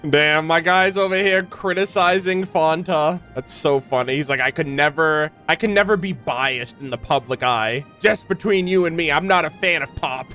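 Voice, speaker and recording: Higher-voiced man giving casual gaming reactions. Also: moderate pace, hardly any pauses, sharp tone, faint music behind. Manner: informal, slangy, jokey asides. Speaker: gaming reaction video host. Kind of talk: casual reaction commentary on game voice lines.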